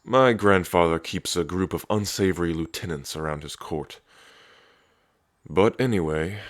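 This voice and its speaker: A man's voice: no echo, clean studio sound, close to an everyday voice. A man playing a cocky, teasing dragon prince and protective big brother in an ASMR audio drama. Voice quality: deep, low. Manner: smug, flirtatious, teasing, sweary.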